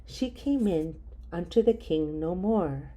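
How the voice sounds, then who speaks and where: A woman reading scripture aloud in a measured, careful way, sometimes restarting on hard names. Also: lowish voice, animated, warm tone, rough recording. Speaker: Bible reader.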